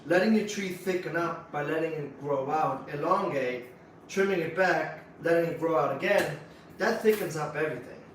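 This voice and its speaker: A man's voice: a little high, moderate pace, a little hiss or hum. Swiss-accented man in an echoey room. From Switzerland. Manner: relaxed, practical explainer with filler words. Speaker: bonsai nursery co-host. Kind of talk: casual livestream Q&A. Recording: echoey room, mic at a distance.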